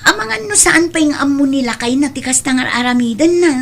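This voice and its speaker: A woman's voice: high-pitched, lively intonation, brisk, vocal fry, fairly bright tone, exaggerated voice. Woman acting blunt Ilocano neighbor chasing debts. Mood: nagging, indignant. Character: frank woman friend who sells goods and chases debts. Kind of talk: Ilocano radio-style comedy drama.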